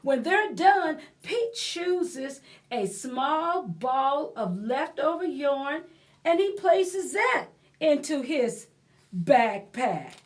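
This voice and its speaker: A woman reading a picture book aloud to young children as a library storyteller, expressive and pausing to explain things and prompt her listeners. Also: sits high.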